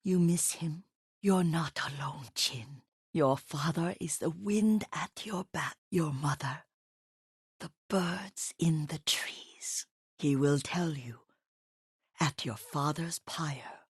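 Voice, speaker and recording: An actress playing an elderly, devoted caretaker and herbalist in a video game, gentle but firm, with a husky, breathy voice and a motherly manner. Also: deep voice, no echo, studio quality, full character voice. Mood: encouraging, solemn. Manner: unhurried, softly instructive, motherly. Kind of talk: video game character dialogue.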